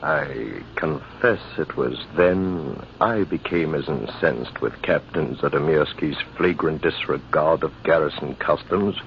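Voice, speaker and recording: An actor playing a measured military officer, formal and deliberate, telling a tale in an old-time radio drama. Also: male voice, very deep voice, vocal fry, heavily acted. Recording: dull, noisy vintage radio recording.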